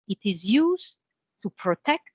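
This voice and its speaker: A woman with an Italian accent, a guest in a business interview, explaining with homely comparisons in a measured way. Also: mid-range voice, very animated, a little mellow, husky, dry recording, highs cut off. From Italy. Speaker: interview guest, channel manager.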